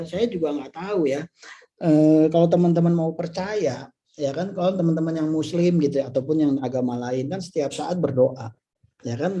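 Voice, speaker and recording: Mellow middle-aged Indonesian man, informal talk. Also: a little high. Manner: conversational, peppered with tag phrases and rhetorical questions. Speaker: insurance agency leader and motivational speaker. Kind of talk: informal motivational talk to colleagues.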